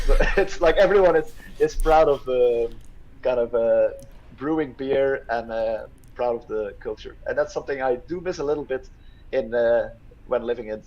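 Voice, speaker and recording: A man, a workshop speaker and startup founder, answers audience questions in a hesitant way with many ums and restarts, trailing off quietly at the ends. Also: mid-range voice. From Belgium. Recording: slightly muffled lo-fi audio.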